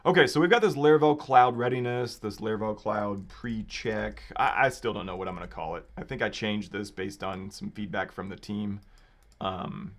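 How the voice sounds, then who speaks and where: A man with a slightly raspy voice giving casual live coding commentary, thinking aloud informally as a developer hosting a livestream. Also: on the low side, normal speed. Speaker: livestream host and software developer. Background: computer keyboard typing and clicking.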